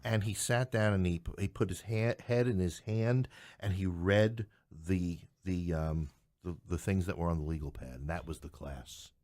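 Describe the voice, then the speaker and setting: A middle-aged man from New York City, talking as a podcast guest and professor, telling anecdotes in an animated, stop-start way. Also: low-pitched, normal speed, no echo. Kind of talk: conversational interview, anecdotes.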